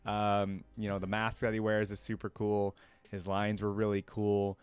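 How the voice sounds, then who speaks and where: A man's voice: fairly low pitch, completely flat delivery, moderate pace, clear, resonant tone, no echo. A man hosting a reaction video, chatting informally and enthusiastically as he thinks aloud. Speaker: reaction channel host. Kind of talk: casual chat to camera, rambling commentary.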